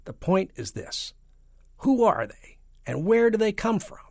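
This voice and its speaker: Performer doing an excitable, pompous president. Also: medium pitch, unhurried, vocal fry, no room sound, clean studio sound, voice pushed up, exaggerated voice. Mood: commanding. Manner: emphatic, declamatory. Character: excitable, foolish, self-important male president.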